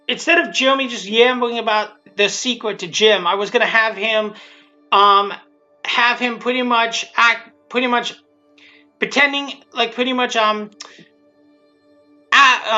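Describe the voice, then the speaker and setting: A commentator with a bright, forward voice talks casually and off the cuff. The delivery rambles, halts and doubles back on phrases. It is captured on a dull lo-fi mic with music and show audio underneath. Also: medium pitch. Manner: rambling, repetitive, halting. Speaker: creator commentating on own abridged series. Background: show audio and music playing underneath.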